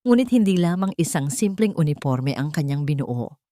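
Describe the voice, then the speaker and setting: A woman storyteller delivers scripted dramatic narration. Her voice is very low for a woman, recorded dry with hardly any room around it. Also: big pitch swings, moderate pace, mellow, no room sound.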